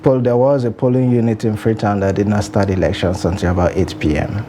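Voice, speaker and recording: A man speaking as a panel guest, halting with restarts. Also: fairly low pitch, steady tone, warm tone, slight background noise. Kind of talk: panel discussion.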